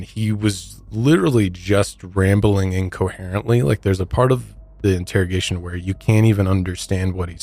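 A man's voice: on the low side, a little mellow. An American man hosting a true crime podcast, narrating and commenting in a casual, conversational, disapproving way. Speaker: podcast host.

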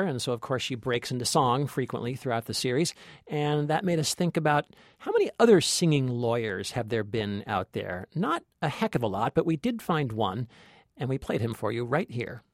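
A man's voice: mid-range voice, very animated, a little fast, dry recording, clean studio sound. A man co-hosting a film podcast in casual, conversational style. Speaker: podcast co-host.

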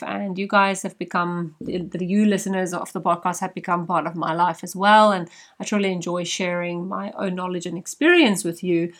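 A woman's voice: on the low side, fairly bright tone. A woman podcast host whose first language is Afrikaans, talking earnestly and personally to her listeners in a casual monologue.

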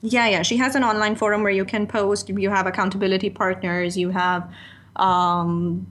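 A woman's voice: medium pitch, flat delivery, normal speed, a bit bright. A woman in her thirties from Pakistan, chatting candidly as an interview guest and often slipping in "you know". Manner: chatty and candid, laughs easily, many "you know" asides. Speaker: guest, freelance writer. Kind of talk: casual interview chat.

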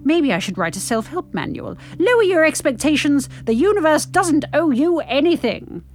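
A cantankerous older woman narrates her life with wry impatience, acted by a woman reading aloud. The voice sits fairly high and moves expressively, over faint music. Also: sing-song, normal speed. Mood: wry, pessimistic. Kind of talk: first-person story reading.

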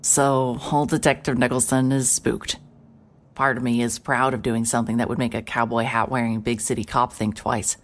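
A woman playing a hard-hearted, sarcastic private investigator with a deadpan delivery in a mystery audio drama. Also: a bass voice, normal speed. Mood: wry, brooding. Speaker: lead actor in an audio drama. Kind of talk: cinematic audio drama acting, noir narration.

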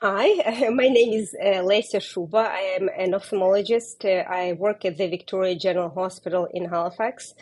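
Husky-voiced woman with a Ukrainian accent. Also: medium pitch, normal speed, few pauses, darkish tone. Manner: careful, explanatory, with frequent hesitations. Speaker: ophthalmologist guest. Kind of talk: interview, explaining.

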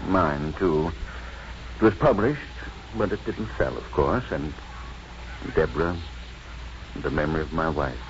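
An actor playing a gentle, bookish, apologetic father in a 1950s radio drama, courteous, wordy and hesitant. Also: rumbling low, unhurried, long pauses. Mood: wistful, earnest. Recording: dull, noisy 1950s radio recording.

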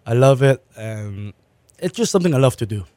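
A man's voice: medium pitch, expressive, fairly quick, a little mellow, dry recording. A man from Malaysia raised in Hong Kong, a restaurant owner answering interview questions plainly, often restarting his sentences. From born in Malaysia, raised in Hong Kong.

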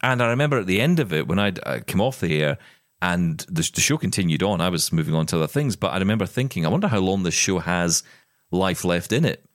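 A middle-aged man with a low voice that swings up and down widely as he presses his points in a fired-up rant. He sounds close and dry on the microphone, as in casual podcast chat. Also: lively intonation, fast talker, dry recording. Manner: impassioned, ranting, emphatic. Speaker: podcast co-host.

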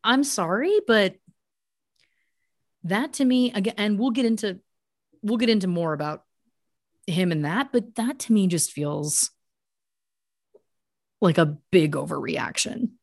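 A woman's voice: medium pitch, expressive, a little slow, pauses often, darkish tone, dry recording, studio quality. A woman hosting a podcast, retelling a story as mock-serious true crime in an emphatic, conversational way with asides. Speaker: podcast host telling a story.

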